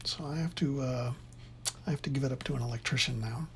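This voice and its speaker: An instructor of unclear sex giving an informal, opinionated tutorial in a husky, conversational voice that rambles along. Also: mid-range voice, fast talker, a little hiss or hum. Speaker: instructor in theater sound.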